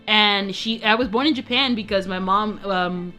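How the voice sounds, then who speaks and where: A woman's voice: mid-range voice, moderate pace, pushed and bright, soft background music. A young woman streamer chatting casually, rambling, self-interrupting and often giggling. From United States. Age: young adult.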